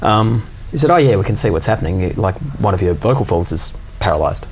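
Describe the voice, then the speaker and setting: Low male voice, casual chatty co-host, halting and relaxed, faint hiss behind. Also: rough recording. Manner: relaxed, halting, recounts at length with fillers. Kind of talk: casual chat.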